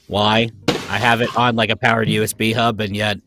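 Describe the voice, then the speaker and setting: A man's voice: fairly low pitch, flat delivery, moderate pace, music in the background. A man who did the sound effects, chatting casually on a video call and laughing at himself. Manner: chatty and self-deprecating, laughs often. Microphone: home mic on a video call.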